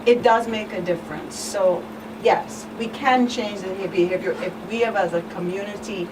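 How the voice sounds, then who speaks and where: A woman speaking as a guest expert on a talk show, in a measured, emphatic, conversational way. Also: medium pitch. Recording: distant mic, clear background noise.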